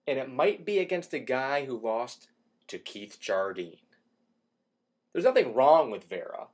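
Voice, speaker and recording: A man reads an opinion column aloud with theatrical emphasis, his slightly husky voice sitting a bit high. His pitch swings widely as he moves from hushed asides to punchy rhetorical questions. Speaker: radio show host reading an opinion column. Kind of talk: dramatic reading of a sports column.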